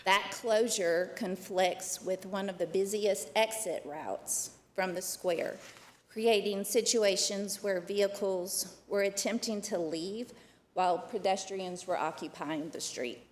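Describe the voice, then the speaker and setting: A soft-spoken woman, a program director, giving a formal prepared presentation to a county committee. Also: mid-range voice, takes it slowly, long reverb tail. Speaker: executive director of a downtown program. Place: county committee meeting room.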